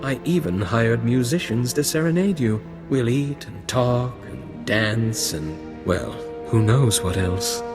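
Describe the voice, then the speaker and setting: A man's voice: mid-range voice, expressive, slow pace, hardly any pauses, over music. An actor playing a suave, flirtatious male aristocrat in a video game, courtly and a little condescending. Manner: courtly, smooth-talking, affected.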